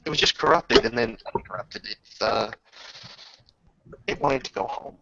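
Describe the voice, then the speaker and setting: A man with a creaky voice narrating a tabletop roleplaying game as game master, halting and pausing mid-sentence over online voice chat. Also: mid-range voice, expressive, heavy background noise. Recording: dull lo-fi voice chat.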